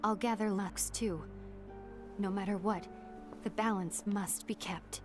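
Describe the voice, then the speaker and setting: A woman's voice: mid-range voice, steady tone, unhurried, over music, lightly acted. A woman playing a stern, composed leader in a fantasy video game cutscene, speaking seriously and resolutely. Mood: resolute, commanding. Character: stern, composed female leader of keyblade wielders.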